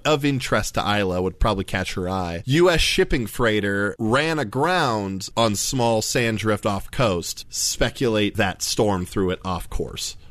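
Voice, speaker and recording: A man's voice: medium pitch, fairly bright tone, no room sound. A man narrating as game master, chatty and rambling, with many fillers and restarts.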